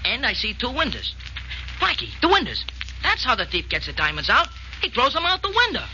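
An actor playing an eager, dim-witted detective's sidekick, naive and slangy, in 1940s radio drama style. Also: male voice, extremely high pitch, huge pitch swings, a little fast, exaggerated voice. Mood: excited. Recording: noisy, muffled old radio broadcast.

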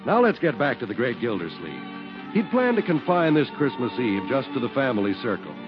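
A man's voice: mid-range voice, very animated, brisk, loud music behind the voice. A man announcing in a scripted 1940s radio advertising and narration style. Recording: muffled 1940s radio recording.

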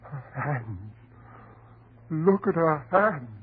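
An American actor playing a desperate, unstable husband in 1930s radio horror, stammering and repeating himself in a husky voice. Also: male voice, on the high side, animated, extremely slow, full character voice. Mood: horrified. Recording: tinny, band-limited 1930s radio recording.

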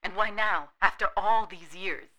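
A woman's voice: medium pitch, a little slow, a bit bright, close to an everyday voice, low-quality recording. An actress playing a wounded adult daughter, sarcastic and accusing as she confronts her father in an audio drama. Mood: bitter, scornful.

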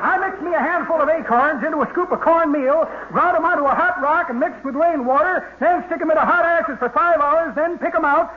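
A man's voice: extremely high pitch, brisk, hardly any pauses. An actor playing a boastful old man who rambles through tall tales in a radio comedy. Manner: folksy, rambling storyteller. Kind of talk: 1940s radio comedy acting. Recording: noisy, tinny old radio recording.

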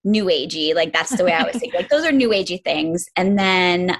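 A woman's voice: fairly low pitch, animated, fairly quick. A woman in her forties from upstate New York, a yoga and self-love teacher, talking reflectively as an interview guest with many "like" fillers. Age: 40s. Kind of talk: casual interview chat.

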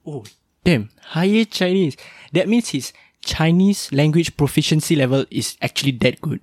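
Young male podcast host, slightly high voice, dry close sound, upbeat and chatty. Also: expressive, moderate pace, no echo. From Singapore. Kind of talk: casual interview chat.

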